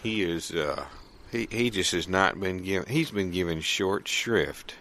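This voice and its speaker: A man playing a rambling, opinionated country old-timer in improvised comedy, gravelly and folksy, repeating himself as he talks. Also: low-pitched, a little slow, bright, forceful tone. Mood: indignant, rambling.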